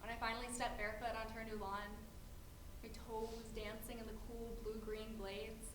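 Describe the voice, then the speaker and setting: Young woman, slightly high voice, vivid monologue for a live theater crowd, echoey space. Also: flat delivery, normal speed. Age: young adult. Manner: vivid, storytelling delivery. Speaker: stage performer. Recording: echoey theater with faint noise.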